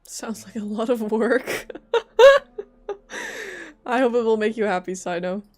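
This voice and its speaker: A woman's voice: a little high, wildly swooping pitch, slow. A woman streaming a video game live, commenting casually in a chatty, rambling, giggly way. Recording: faint game music behind voice. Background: faint game music.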